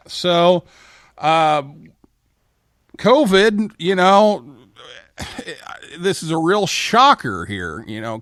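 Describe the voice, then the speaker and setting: Male host for a live interview show, very lively pitch movement, close dry sound. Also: no echo.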